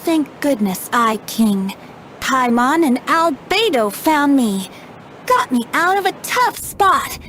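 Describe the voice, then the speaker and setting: A woman's voice: high-pitched, very animated, slow pace, a bit bright, a little hiss or hum, full character voice, low-quality recording. A woman voicing a playful, youthful male wandering bard in a video game, light and coy.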